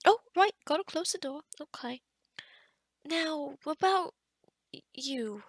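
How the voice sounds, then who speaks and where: A kind but flustered forest witch, played by a woman speaks in a high voice with some creak in it. She is chatty and scatterbrained, stammering and dropping into whispers as she talks to the listener. The ASMR roleplay is recorded dry, without room sound. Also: expressive, measured pace, no echo. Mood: anxious, scattered.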